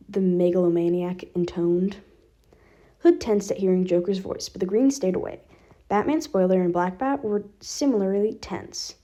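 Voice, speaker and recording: A woman's voice: medium pitch, mellow. A woman reading fan fiction aloud as scripted narration. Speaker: podfic reader.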